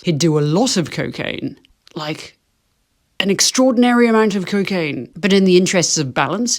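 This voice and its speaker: A woman presenting a wry video essay to camera, with dry, self-deprecating humour. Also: lowish voice. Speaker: video host, fiction writer.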